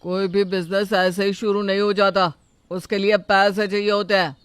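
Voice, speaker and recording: A man plays an argumentative young husband in a storybook drama. His voice is very high and crisp, and he complains indignantly in a dry recording. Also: little pitch movement, moderate pace, fairly bright tone, dry recording. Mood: defensive.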